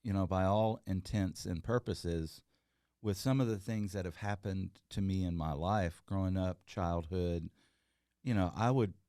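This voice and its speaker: Deep-voiced earnest man on a call. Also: unhurried, warm tone, clean tone, no room sound, clean studio sound. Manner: earnest, rambling, many fillers and restarts. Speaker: guest, entrepreneur. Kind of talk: casual interview chat.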